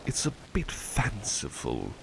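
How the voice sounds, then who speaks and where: A man's voice: on the low side, huge pitch swings, slow, heavily acted. A man playing a devoted, mild-mannered butler in an ASMR roleplay, speaking politely and formally, with hesitant, musing phrasing. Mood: pleased, bashful. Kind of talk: ASMR roleplay, personal attention. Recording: clearly noisy ambience behind voice.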